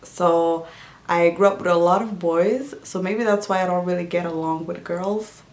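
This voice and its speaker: A woman's voice: lowish voice, steady tone, moderate pace, a little mellow. Easygoing woman in an echoey room. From Djibouti. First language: not English. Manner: easygoing, chatty, many fillers and restarts. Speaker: health and nutrition vlogger. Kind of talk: casual Q&A vlog. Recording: roomy echo.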